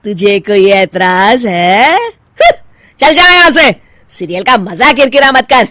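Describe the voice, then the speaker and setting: Bossy, high-pitched older mother-in-law. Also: big pitch swings, slow, bright, forceful tone, exaggerated voice. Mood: scolding, smug. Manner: commanding, scolding, sarcastic. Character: bossy, sharp-tongued older mother-in-law twin, scolding. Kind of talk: Hindi cartoon voice acting.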